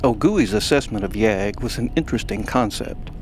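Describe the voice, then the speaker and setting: A man's voice: on the low side, expressive, moderate pace, slight background noise. A man narrating a science fiction audio drama in a dry, wry tone. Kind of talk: scripted story narration. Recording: music bed under narration.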